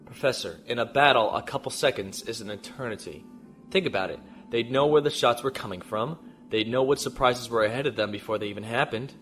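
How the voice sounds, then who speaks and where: Man acting a measured, decisive starship captain. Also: medium pitch, fairly bright tone, faint music behind, lightly acted. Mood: analytical. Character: male starship captain, calm, decisive, shrewd tactician. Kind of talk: science fiction audio drama acting.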